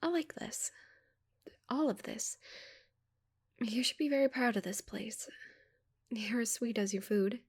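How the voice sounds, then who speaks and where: Woman doing a shy giant alien lady for asmr, soft n breathy, bit creaky, awkward and stammery. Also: on the high side, unhurried, long pauses. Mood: delighted, curious. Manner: hesitant, stammering, flustered. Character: shy, curious giant female alien, touch-starved and awkward. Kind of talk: ASMR roleplay.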